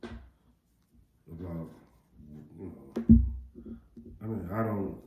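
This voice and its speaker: A middle-aged American man with a deep voice, chatting casually in a rambling, plain-spoken way as a parent giving advice. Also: fairly even intonation, drawn-out words, over music. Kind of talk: casual chat. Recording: roomy echo, faint background noise.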